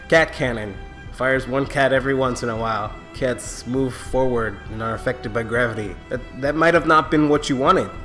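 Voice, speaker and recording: A man's voice: medium pitch, fairly bright tone. Stuffy-nosed young man rambling casually. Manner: rambling, chatty, with many fillers and restarts. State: stuffy nose, sniffling. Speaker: YouTube channel creator. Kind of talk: casual vlog address to viewers.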